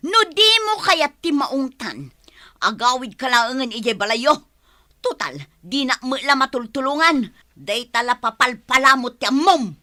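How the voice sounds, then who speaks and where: High-pitched, forceful farm wife and mother, weary and easily riled, Philippine radio drama. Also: big pitch swings, measured pace, dry recording, clean studio sound, full character voice. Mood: angry.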